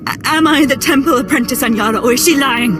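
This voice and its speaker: An actress playing a tormented young Sith woman in a video game, intense, halting and struggling for control of her mind. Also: high-pitched, lightly acted. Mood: desperate, defiant. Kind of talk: dramatic video game voice acting. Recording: music behind the voice.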